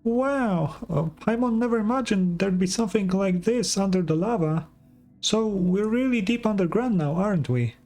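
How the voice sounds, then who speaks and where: Man putting on a high-pitched little fairy girl. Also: lively intonation, normal speed, a little mellow, soft background music. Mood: awed, alarmed. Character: small, excitable, childlike female fairy companion. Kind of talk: video game dialogue read aloud.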